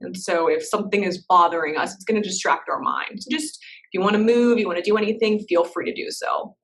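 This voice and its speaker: A woman leading a guided meditation in a soft, slow, reassuring way, with gentle pauses between phrases. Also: mid-range voice, far from the mic.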